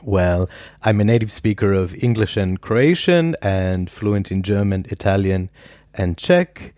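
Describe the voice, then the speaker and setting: A man, a historian answering interview questions in a thoughtful, measured way with many pauses. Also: lowish voice, animated, a little mellow, no echo, studio quality. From Australia. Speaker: historian guest.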